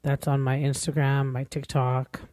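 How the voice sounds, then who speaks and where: A woman's voice: very deep voice, little pitch movement, unhurried, mellow, no echo. Lady in her 40s just chatting on her podcast, kinda rambly and earnest, dry close sound. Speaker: podcast host. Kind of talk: casual podcast monologue.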